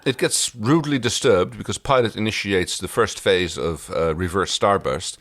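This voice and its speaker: A man's voice: fairly low pitch, normal speed, a bit bright. A man co-hosting a casual recap podcast, drily fussy about details. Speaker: podcast co-host. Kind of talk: casual episode recap chat.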